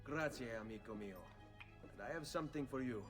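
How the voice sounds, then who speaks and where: A man's voice: medium pitch, fairly quick, music in the background, lightly acted. A male actor playing an earnest, brooding young assassin with an Italian accent in a video game cutscene.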